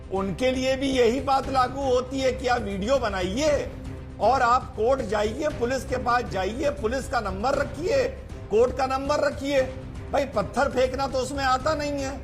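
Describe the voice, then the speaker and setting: Man, very high pitch, emphatic, combative, TV debate panelist, faint music behind. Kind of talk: heated TV news debate.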